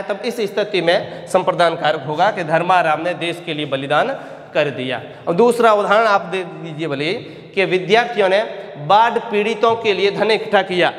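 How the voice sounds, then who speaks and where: A male teacher giving a grammar lesson, dictating examples slowly, repeating phrases and answering his own questions. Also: high-pitched, fairly bright tone. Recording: echoey room with long reverb.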